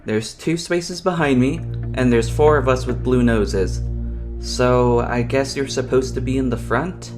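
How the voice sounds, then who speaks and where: A woman playing a steady, thoughtful creature companion in an audio drama, with a measured way of talking. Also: rumbling low, over music. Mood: pondering. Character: thoughtful, steady Zoombini companion solving puzzles.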